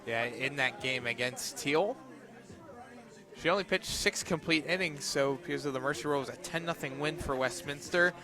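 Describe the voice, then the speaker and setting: A man doing live radio play-by-play commentary of a softball game from outdoors at the field. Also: on the high side, normal speed, crowd noise. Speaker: play-by-play sports announcer.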